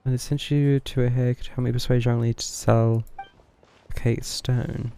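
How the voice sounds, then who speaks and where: A man's voice: medium pitch, little pitch movement, normal speed, dark tone, slight background noise. A man streaming a video game with casual live commentary, reading dialogue aloud and mumbling through parts of it. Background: game music.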